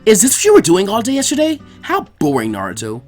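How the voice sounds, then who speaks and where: A man's voice: sits high, huge pitch swings, fast, a little mellow, pitched above the performer's own voice, heavily acted. A feisty young kunoichi, acted by a male reader for an anime fan story. She nags indignantly in a raised, swooping voice over faint music. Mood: nagging. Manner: badgering, indignant. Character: nagging, feisty young girl ninja.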